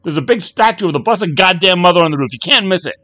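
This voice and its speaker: A shrieking, furious wife yells with a high, wildly swinging voice, as a male narrator puts her on. Every line comes out in a sharp, accusing shout. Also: lively intonation, fast, no echo. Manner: screeching, furious. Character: shrill angry working-class wife.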